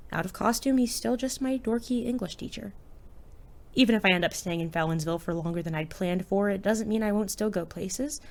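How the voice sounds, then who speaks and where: A woman's voice: medium pitch, a little mellow, natural voice. A young woman playing a guarded, wry teenage student who works as a superhero in an audio drama. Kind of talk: hobby audio drama acting.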